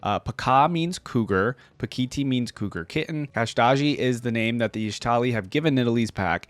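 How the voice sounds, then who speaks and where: A man's voice: medium pitch, animated, brisk, no echo. A man casually commentating as a video host, rambling conversationally and speaking straight to his viewers. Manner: conversational, addresses viewers directly.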